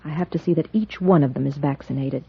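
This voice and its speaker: An actress playing a weary, dutiful, determined frontier doctor in an old radio western. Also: female voice, low voice, quick pace, creaky, slightly rough, exaggerated voice. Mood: grave, urgent. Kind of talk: 1950s radio western acting. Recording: dull old radio recording.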